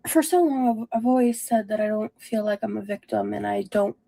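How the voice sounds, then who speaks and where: A woman giving a personal, confessional vlog monologue in a halting, self-reflective way. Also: medium pitch, fairly even intonation, vocal fry, mellow, slight background noise.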